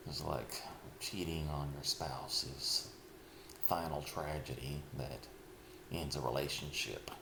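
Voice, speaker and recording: A middle-aged man speaking a slow, heavy personal confession, pausing often and sighing. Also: rumbling low, fairly even intonation. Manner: slow, heavy, halting with sighs. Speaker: vlogger. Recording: echoey room with clear background noise.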